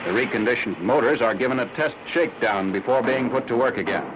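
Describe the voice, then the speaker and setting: An American man reading scripted 1940s military newsreel narration in a formal, factual, measured announcer style. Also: medium pitch, expressive. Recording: noisy 1940s newsreel film soundtrack.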